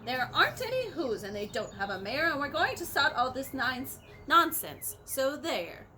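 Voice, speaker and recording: Woman as mocking monkey brothers; loud, scornful, animated. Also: high-pitched, normal speed, slight background noise, heavily acted. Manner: shouting, scornful.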